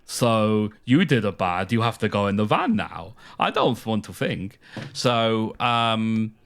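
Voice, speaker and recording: A British man co-hosting a podcast, explaining things casually in a halting way, often hedging and correcting himself. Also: lowish voice, expressive, gravelly, a bit bright, smooth. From United Kingdom. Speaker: podcast co-host.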